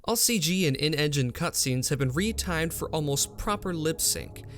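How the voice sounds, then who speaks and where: A man presenting a software update video in scripted explainer narration. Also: a little high, expressive, normal speed. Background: music.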